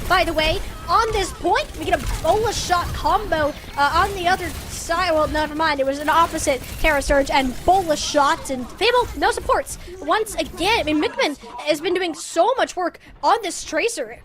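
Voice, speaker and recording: A woman's voice: extremely high pitch, moderate pace, few pauses. A woman doing live play-by-play commentary of a video game match, in a playful, colloquial, exclamatory way. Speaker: esports caster.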